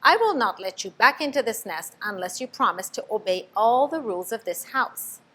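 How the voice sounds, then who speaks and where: A woman's voice: fairly high pitch, sing-song, moderate pace, breathy edge, heavily acted. A woman doing a strict scolding mother bird. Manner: sharp, scolding.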